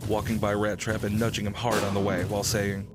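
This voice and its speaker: A man narrates a radio drama in a somewhat low voice. The reading is scripted, describing scenes, with loud music running underneath. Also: completely flat delivery, fairly quick.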